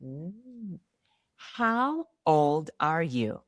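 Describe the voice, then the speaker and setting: Thirties woman, ESL teacher: low, animated voice, deliberate clear delivery, dry sound. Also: no echo. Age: 30s. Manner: slow model phrases, bright and upbeat. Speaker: English teacher. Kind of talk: slow, clearly enunciated beginner ESL lesson.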